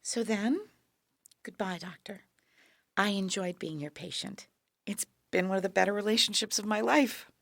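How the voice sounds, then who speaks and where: An actress playing an older, dying cancer patient in an audio drama, weary, frank and dryly resigned. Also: female voice, mid-range voice, normal speed, gravelly, dry recording, studio quality. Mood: resigned, wry.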